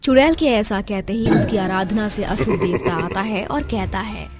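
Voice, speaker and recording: A woman's voice: medium pitch, normal speed, over loud music. A woman narrating a horror cartoon story with dramatic storyteller delivery.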